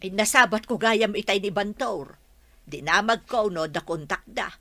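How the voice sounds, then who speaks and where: A woman plays an older village mother in a radio comedy drama, nosy and protective. Her voice is bright and forceful at an ordinary pitch for a woman. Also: lively intonation, normal speed. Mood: concerned.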